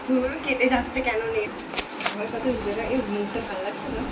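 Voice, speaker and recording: A woman's voice: on the high side, steady tone, a little fast. A young woman chatting casually as a vlogger making a prank phone call. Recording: distant mic, clear vehicle-like background noise.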